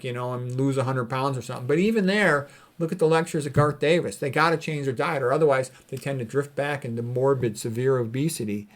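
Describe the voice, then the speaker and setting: A man speaking informally as a doctor giving health advice, blunt and opinionated, leaning on repeated phrases for emphasis. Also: a little high. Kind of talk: informal commentary on read quotes.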